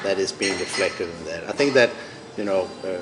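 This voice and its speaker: Danish-accented man, lowish voice, noisy room. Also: normal speed. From Denmark. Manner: thoughtful, restarts phrases, explains steadily. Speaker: company founder and CEO, guest. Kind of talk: business podcast interview.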